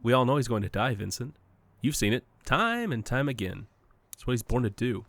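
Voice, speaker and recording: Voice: mid-range voice, sing-song, fairly quick, a bit bright, no echo. An actor playing an uncannily cheerful entity that poses as a best friend, overly friendly, coy and needling, in an audio drama. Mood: needling calm.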